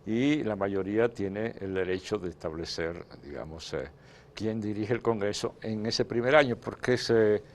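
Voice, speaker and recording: A man from the Dominican Republic, a guest in a political interview, speaking in measured phrases with frequent hesitations. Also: lowish voice, slight background noise. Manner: measured, hesitant with many "eh" fillers. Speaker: interview guest, former legislator.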